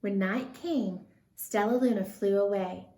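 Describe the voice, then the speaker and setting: A woman's voice: mid-range voice, a little slow, warm tone, echoey room. A woman reading a storybook aloud expressively for children.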